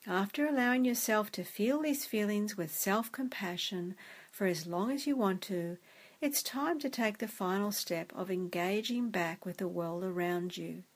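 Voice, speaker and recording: A woman guiding a meditation in a soft, gentle, soothing way. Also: medium pitch, normal speed. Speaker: meditation guide. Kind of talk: guided meditation narration.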